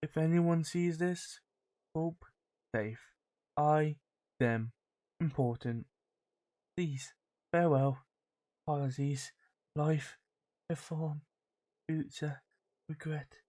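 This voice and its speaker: Weary, suffering diarist acted out by a woman, hushed and faltering dramatic reading of game text. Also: sits low, extremely slow, pauses often, heavily acted. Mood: fading, faltering. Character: failing, despairing diary writer, weary, suffering, losing her grip.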